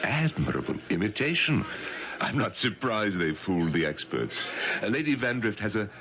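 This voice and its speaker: Deep, animated, pompous rich gentleman. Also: moderate pace. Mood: calculating. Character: wealthy, self-assured titled gentleman, shrewd bargainer. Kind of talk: 1970s radio drama acting. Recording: dull, noisy 1970s radio recording.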